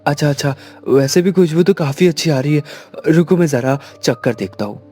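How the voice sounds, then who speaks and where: A man voicing easygoing, appreciative Indian family men in an animated story, chatty and hearty. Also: fairly high pitch, normal speed, husky, soft background music. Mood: pleased, curious. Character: easygoing, appreciative Indian husband and his friendly pal.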